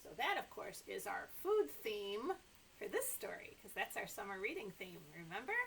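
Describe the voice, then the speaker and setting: Animated middle-aged woman reading to toddlers. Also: medium pitch, normal speed, vocal fry, a little hiss or hum. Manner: animated, exaggerated sing-song delivery for small children. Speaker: children's library story time host. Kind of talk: read-aloud story time for toddlers, talking to young children. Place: small indoor room with sofa and toys.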